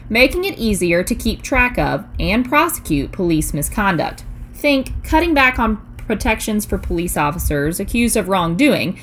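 Lively woman reading a casual news digest. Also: medium pitch, slight background noise. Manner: chatty, playful asides. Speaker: news podcast host. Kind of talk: scripted news digest read in a casual, conversational voice.

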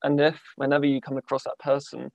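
A man's voice: medium pitch, completely flat delivery, fast talker, gravelly, no echo. A young man hosting a podcast, talking hesitantly with many "like"s and false starts. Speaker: podcast host. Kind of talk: conversational interviewing. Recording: dull lo-fi dry sound.

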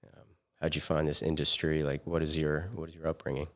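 A man's voice: very deep voice, flat delivery, a little fast, a bit bright. A man hosting a podcast, introducing his guest and asking questions in an easygoing, friendly way. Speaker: podcast host. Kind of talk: casual podcast interview.

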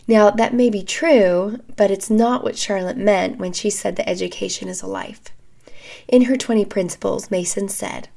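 A woman in her thirties hosts a podcast in a calm, measured way. Her voice is scratchy and gravelly from a recent illness. Her pitch sits in an ordinary middle range. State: hoarse, recovering from a respiratory illness. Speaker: podcast host. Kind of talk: scripted educational podcast talk.

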